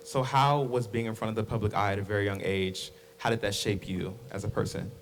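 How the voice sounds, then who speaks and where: A man's voice: on the low side, fairly even intonation, a little fast, reverberant, a little hiss or hum. A man hosting a discussion podcast, opening with upbeat introductions and then asking his guests questions. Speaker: host.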